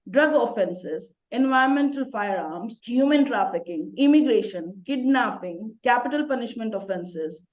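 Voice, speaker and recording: A woman's voice: fairly high pitch, darkish tone, dull audio. Polite, formal female interview host. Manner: formal and polite, addresses guest as sir. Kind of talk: scripted introduction and interview questions.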